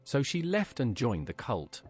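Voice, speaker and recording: A man's voice: medium pitch, huge pitch swings, a little slow, a little mellow, breathy edge, heavily acted. A man's synthetic-style voice playing a cool, inquisitive fantasy game adventurer who preaches heresy. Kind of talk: AI-voiced video game player dialogue.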